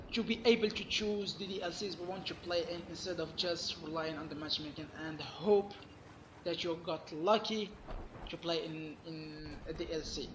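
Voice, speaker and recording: A man giving an emphatic, complaining gaming commentary while losing his voice, sounding hoarse. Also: a little high, echoey room, noisy background. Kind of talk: solo gaming rant.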